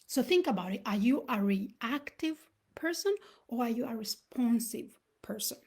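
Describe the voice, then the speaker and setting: Husky-voiced woman giving a reflective talk. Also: fairly high pitch, expressive, darkish tone. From Uganda, raised in Sweden. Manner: reflective, storytelling with pauses. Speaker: host. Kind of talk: motivational live talk.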